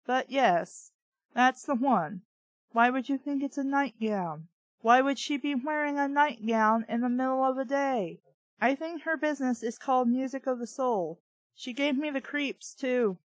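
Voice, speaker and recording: High-pitched, blunt woman reading and ranting. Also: no echo, rough recording. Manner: blunt, sweary, exasperated. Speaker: host of a bad fiction reading show. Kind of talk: reading a story aloud with ranting commentary.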